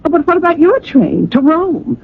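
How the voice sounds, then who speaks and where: Concerned puzzled train lady, radio drama, swoopy pitch, thin noisy old recording. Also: big pitch swings, normal speed, vocal fry, narrow, tinny audio, full character voice. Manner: fussing, concerned.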